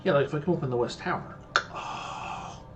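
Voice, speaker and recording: A man's voice: on the high side, moderate pace, muffled recording, a little hiss or hum. A man casually thinking aloud while playing a mystery game, muttering to himself and explaining history as he goes. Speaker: gameplay streamer. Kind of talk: casual let's-play commentary. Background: game music.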